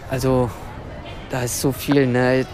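A man's voice: mid-range voice, monotone, slow pace, a little mellow, soft background music, some chatter behind. A young man from Germany, speaking reflectively and searching for words in an interview. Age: young adult.

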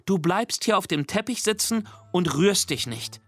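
A man's voice: sits high, lively intonation, unhurried, pitched above the performer's own voice. A man voicing a strict, indignant young girl while reading a children's storybook aloud. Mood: stern. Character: stern, cross young girl.

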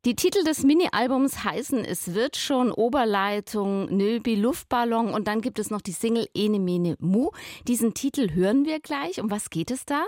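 A woman radio presenter announcing music and interviewing a guest, asking friendly, lively questions from a studio. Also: on the high side, moderate pace, few pauses, no room sound. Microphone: studio microphone.

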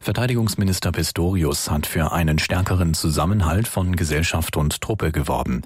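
A man reading the evening radio news and weather in a calm, even newsreader manner. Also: low voice, brisk, slightly rough, no echo, studio quality.

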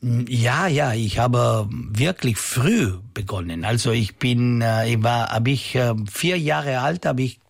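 A middle-aged man from South Tyrol with an Italian accent, a native Italian speaker who answers in halting German, searching for words. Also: medium pitch, lively intonation, creaky. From South Tyrol, Italy. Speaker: guest singer. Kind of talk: casual radio interview.

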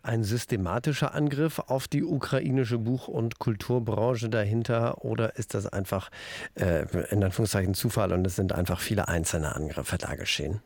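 Male studio radio host, measured delivery, gravelly texture, close dry sound. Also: lowish voice, no echo. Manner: calm, measured questioning. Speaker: radio culture show host. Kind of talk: radio interview moderation.

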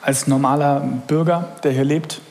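A man moderating a public panel, who leads the discussion briskly. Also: a little high, steady tone, darkish tone. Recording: reverberant hall with faint ambience.

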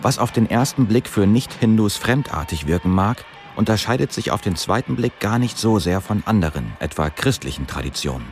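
A man's voice: fairly low pitch, animated, brisk, faint music behind. A man reading scripted narration for a radio documentary.

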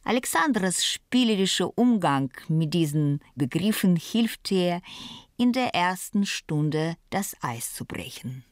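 A woman reading scripted feature narration as a reporter, explaining things in a measured way. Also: fairly low pitch, animated, no echo, clean studio sound.

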